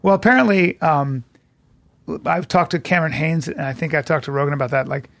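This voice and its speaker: A man's voice: a little high, brisk, a bit bright, dry recording. A man co-hosting a podcast in casual chat, talking in an emphatic, lecturing, jokey way. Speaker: podcast co-host.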